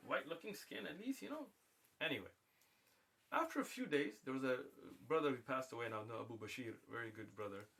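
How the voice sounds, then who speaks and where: A man from the United States telling a long anecdote in casual livestream talk, acting out the people in it. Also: fairly high pitch. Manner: animated, acts out voices in anecdotes.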